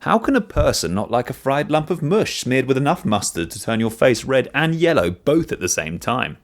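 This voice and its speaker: Man voicing a wry, animated father. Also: mid-range voice, normal speed, pitched above the performer's own voice. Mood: mock indignant. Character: wry, needling father in a family anecdote.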